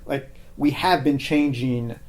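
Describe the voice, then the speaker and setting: A man speaking as a podcast guest in casual, reflective conversation, halting as he works out his thoughts. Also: medium pitch. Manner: halting, thinking aloud, hedged phrasing.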